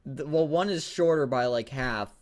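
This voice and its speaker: A man co-hosting a casual read-aloud show, bantering in a crude, giggly, animated way. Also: medium pitch, moderate pace. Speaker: co-host of a fan fiction reading show.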